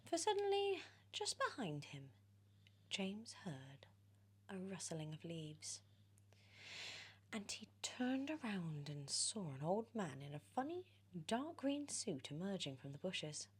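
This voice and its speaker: A British woman reading a storybook in ASMR style, drifting between soft whispers and expressive, animated speech. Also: mid-range voice, slow, breathy edge. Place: on a sofa indoors.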